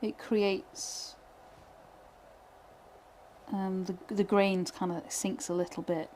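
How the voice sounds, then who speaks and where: A woman's voice: medium pitch, little pitch movement, slow pace, mellow, slight background noise. Chatty British woman teaching wood burning. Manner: chatty, self-deprecating, thinks aloud with many fillers. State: voice going hoarse. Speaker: pyrography instructor. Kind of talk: live tutorial chat while working.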